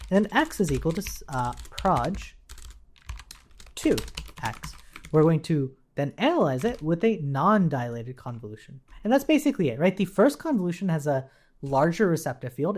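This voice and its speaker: A man giving an informal coding tutorial, explaining step by step in a conversational way and often checking in with a quick "right?". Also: on the high side, slight background noise. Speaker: programming instructor.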